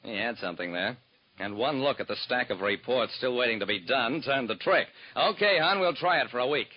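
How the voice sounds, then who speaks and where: A man's voice: medium pitch, expressive, fairly quick, lightly acted. An actor playing a private detective who narrates his own cases in wry, hard-boiled first person, in 1940s radio drama style, on a dull lo-fi old recording.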